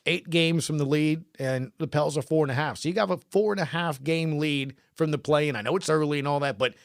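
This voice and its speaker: A man with a slightly high voice, recorded close and dry. He hosts a casual sports show, hammering points home in short, emphatic phrases and repeated words. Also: fairly quick, creaky, no room sound. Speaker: sports podcast host.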